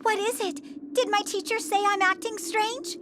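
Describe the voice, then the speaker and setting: A woman's voice: very high-pitched, steady tone, normal speed, noisy background. An actress playing a polite, innocent, earnest schoolgirl in an anime dub. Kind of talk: dubbed anime acting. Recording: music loud behind the voice.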